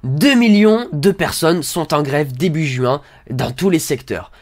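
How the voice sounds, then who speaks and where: Homme enrhumé à la voix grave éraillée. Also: male voice, a little high. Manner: hesitant, many fillers, mock-naive asides. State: has a cold. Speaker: satirical commentator reading an article aloud. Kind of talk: sarcastic read-along commentary.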